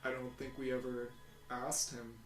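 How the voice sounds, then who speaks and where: A man playing a tabletop game, talking casually in character in a halting, hesitant way. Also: mid-range voice, level tone. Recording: echoey room with music behind.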